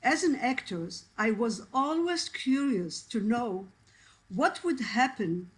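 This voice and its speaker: Woman, native Iraqi Judeo-Arabic speaker, halting and emotional, reading aloud, slow pace, video call. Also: fairly high pitch, lively intonation, rough recording. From Israel. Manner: halting, searching for English words, emotional. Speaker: actress and translator, guest speaker.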